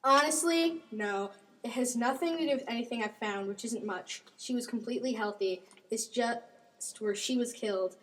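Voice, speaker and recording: A woman's voice: on the high side, normal speed, fairly bright tone, slight background noise, close to an everyday voice. A woman playing witness and medical examiner parts in an amateur old-time radio mystery. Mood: matter-of-fact. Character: female witness and medical examiner in a murder case.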